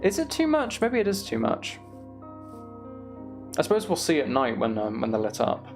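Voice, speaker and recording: A man's voice: on the high side, wildly swooping pitch, moderate pace, soft background music. A man livestreaming a game in casual chat, musing aloud and replying to viewers as he goes. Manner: musing aloud, reads and answers viewer comments. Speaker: live streamer.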